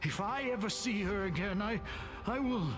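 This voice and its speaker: An actor playing a sorrowful lost soul of a fishmonger in a video game, halting and repetitive in a plaintive way. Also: male voice, high-pitched, close to an everyday voice. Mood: aggrieved. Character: sorrowful dead fishmonger soul, lost and stuck repeating himself. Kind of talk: scripted game dialogue. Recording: clear music behind the voice.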